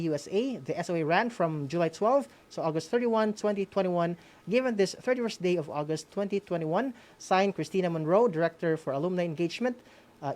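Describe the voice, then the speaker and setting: A woman's voice: fairly low pitch, darkish tone. A woman with a Filipino accent hosting an award ceremony on a radio program, often tagging phrases with "no?".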